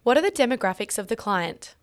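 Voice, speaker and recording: A woman's voice: a little high, big pitch swings, brisk. A woman reading a scripted podcast introduction and questions as host. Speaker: podcast host and mortgage broker. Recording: music behind the voice. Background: clear background music.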